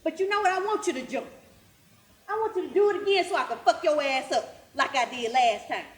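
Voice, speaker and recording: A woman's voice: sits high, expressive, a little fast. A woman acting an abusive, volatile, drug-addicted mother in a stage play, confrontational and threatening. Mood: furious, threatening. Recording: roomy, distant stage pickup.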